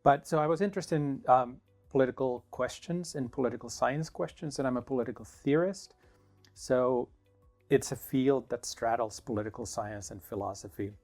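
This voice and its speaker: A middle-aged man from Finland, a professor answering interview questions in a thoughtful, measured way with small restarts. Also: medium pitch, a little mellow, faint music behind. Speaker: political science professor.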